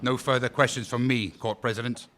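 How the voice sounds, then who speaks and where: A man's voice: mid-range voice, little pitch movement, brisk, fairly bright tone, a little hiss or hum, natural voice. A man playing a prosecuting officer at a war crimes trial, cross-examining a witness in a probing, sarcastic and formal way. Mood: cold. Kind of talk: audio drama acting.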